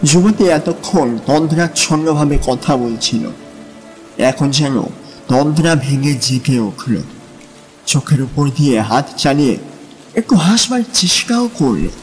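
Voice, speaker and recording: Slow dramatic male narrator with long reverb. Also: fairly high pitch, expressive. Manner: measured, dramatic. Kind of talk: dramatic literary story narration. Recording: long reverb, music and rain behind.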